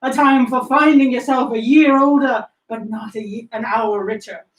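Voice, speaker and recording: Give a roomy, slightly distant recording of a woman acting as a bitter miser uncle in a stage reading. The delivery is declamatory, curt and full of scorn, in a fairly high voice. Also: fairly even intonation, moderate pace, lightly acted. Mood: scornful. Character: bitter, grumpy old miser uncle, male. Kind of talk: dramatic play reading.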